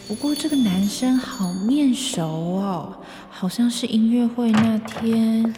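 A woman playing an observant, considerate young female office worker in a radio drama, in a measured, matter-of-fact way. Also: a little high, over music. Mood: curious, concerned.

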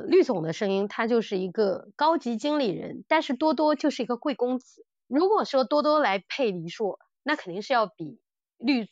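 A woman's voice: fairly high pitch, no room sound. Woman podcaster, slightly muffled and dry. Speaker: podcast co-host. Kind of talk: casual discussion of audio dramas.

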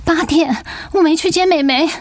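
A woman's voice: extremely high pitch, normal speed, a little mellow, a little hiss or hum. A woman playing a weary, self-doubting sick young mother in a radio drama, with a Taiwanese Mandarin accent. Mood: weak, apologetic. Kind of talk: dramatic radio play acting.

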